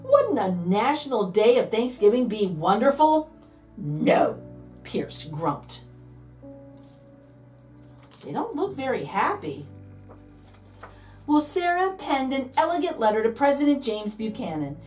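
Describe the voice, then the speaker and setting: A woman's voice: fairly high pitch, sing-song, slow, pauses often, a little mellow, far from the mic, soft background music. A woman reading a picture book aloud to children, dramatic and playful, drawing out key words for emphasis. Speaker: read-aloud host, storyteller.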